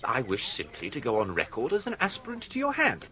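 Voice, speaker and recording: Smooth posh-talking english gent in a radio play, very florid, voice swoops all over. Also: male voice, mid-range voice, huge pitch swings, fairly quick, sharp tone, slight background noise. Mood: ardent, florid. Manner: florid, mock-formal, suave. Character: urbane, unflappable young gentleman impostor courting a lady. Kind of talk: radio comedy drama.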